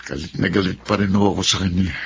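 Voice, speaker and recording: A man's voice: sits low, fairly quick, slightly rough, highs cut off, heavily acted. A man with a Filipino accent playing a hot-tempered, resentful husband in a radio drama.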